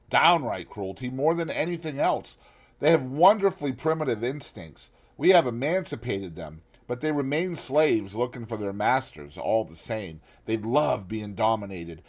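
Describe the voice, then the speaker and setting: A man voicing a worldly, cynical aristocratic gentleman in an audiobook, with a drawling, epigrammatic manner. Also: mid-range voice, expressive, normal speed, close to an everyday voice. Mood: languid. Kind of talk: audiobook character reading.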